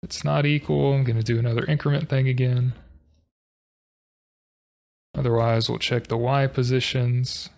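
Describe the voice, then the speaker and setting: A monotone man walks through his code aloud, low-key and thinking as he goes. His voice sits at an ordinary pitch with a gravelly, creaky texture. He sounds like a casual coding tutorial host at his desk. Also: normal speed, long pauses. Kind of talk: casual screen-recorded coding walkthrough.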